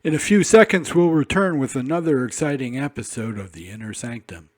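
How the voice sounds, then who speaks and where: A man's voice: mid-range voice, a little fast. A man reading scripted narration as a program announcer.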